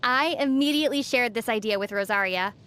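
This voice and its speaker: A woman playing a gentle, thoughtful young female traveler in video game dialogue, speaking in a measured, soft-spoken way. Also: on the high side, heavy background noise, natural voice. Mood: calm, friendly. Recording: faint music under the voice. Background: faint background music.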